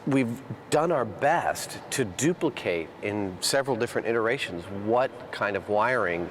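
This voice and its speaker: A man's voice: mid-range voice, lively intonation, moderate pace, background noise. A man explaining an experiment on location at an outdoor testing site, in a matter-of-fact way. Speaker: co-host.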